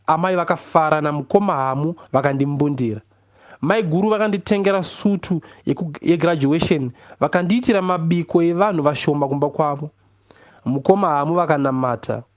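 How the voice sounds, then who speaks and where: A man with a Zimbabwean accent reading a literary story aloud as an audiobook narrator. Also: on the high side. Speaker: audiobook reader, poet.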